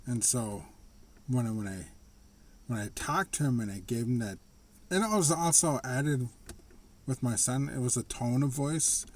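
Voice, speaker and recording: A man talking casually to the camera in a slightly raspy voice, rambling and restarting his sentences. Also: mid-range voice, lively intonation. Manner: rambling, halting, with frequent restarts and laughs. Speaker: vlogger. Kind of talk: casual personal monologue.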